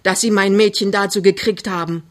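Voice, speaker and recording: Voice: mid-range voice, a little fast, sharp tone, close to an everyday voice. A woman voicing a harsh, suspicious old working-class mother in an audiobook, blunt and scolding with angry outbursts. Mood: angry, distrustful.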